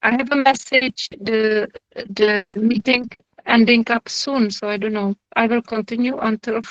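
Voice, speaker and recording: A woman with a Czech accent, a somatic therapist giving an informal online lecture and answering questions, speaking haltingly and searching for words in a friendly way over a video call. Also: on the high side, steady tone, fairly bright tone, no room sound, muffled recording.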